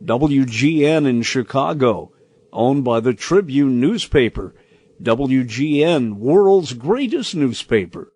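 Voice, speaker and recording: An older American man reading a scripted, nostalgic personal commentary in a deliberate, expressive storytelling manner. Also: mid-range voice, husky, no echo. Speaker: radio commentator.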